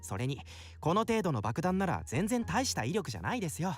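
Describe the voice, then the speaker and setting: A man's voice: on the high side, sing-song, rapid-fire, faint music behind. An actor playing an eager, boastful young male geek with polite manners, in visual novel style. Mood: self-assured. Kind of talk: Japanese visual novel voice acting.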